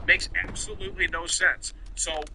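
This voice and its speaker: A man's voice: sits high, steady tone, moderate pace, heavy background noise. A man hosting a gaming stream, delivering an unscripted, emphatic and repetitive rant in an exasperated way. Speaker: live streamer and gaming channel host. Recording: loud game gunfire over voice. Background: shooter game gunfire and announcer.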